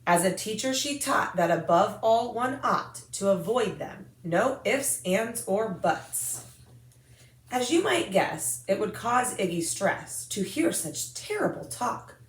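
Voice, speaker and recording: An American woman reading a rhyming children's picture book aloud in an expressive, singsong rhythm. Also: medium pitch. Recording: echoey room.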